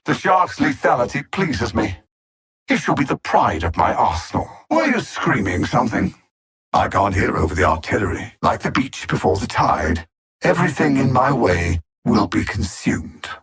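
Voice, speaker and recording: An actor playing an arrogant alien supervillain in a video game, coldly menacing and theatrical, with haughty, condescending, precisely worded speech. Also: male voice, fairly low pitch, fairly bright tone, slightly rough, full character voice. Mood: mocking, then gloating threat. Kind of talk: scripted video game villain lines.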